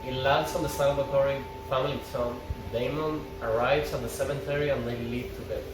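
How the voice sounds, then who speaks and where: A man's mid-pitched voice carries from some way off the mic, echoing in the room with clear noise behind it. He speaks like a fan at an informal read-through, narrating or chatting. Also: steady tone, a little fast. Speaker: fan table reader and commentator. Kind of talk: reading stage directions, then casual fan chat. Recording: echoey, far from mic, noisy room.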